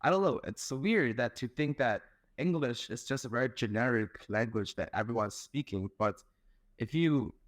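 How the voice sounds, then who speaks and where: A man's voice: mid-range voice, lively intonation, normal speed, no room sound, studio quality. A young man from China, chatting as a guest in a hesitant way, full of fillers. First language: Chinese. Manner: hesitant, heavy use of "like" and "um" fillers. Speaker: guest, content creator. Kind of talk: casual online interview chat.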